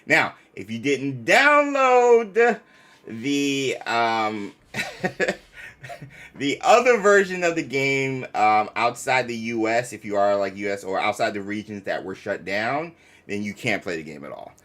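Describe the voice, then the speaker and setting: A man's voice: mid-range voice, lively intonation, slow pace, a bit bright. A man co-hosting a livestream in casual, slangy chat with a playfully indignant manner. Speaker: streamer co-host.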